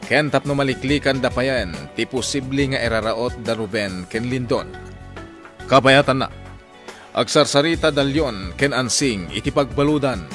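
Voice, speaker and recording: A man's voice: mid-range voice, normal speed, fairly bright tone. Male narrator for radio drama, scripted recap delivery, medium register, music bed.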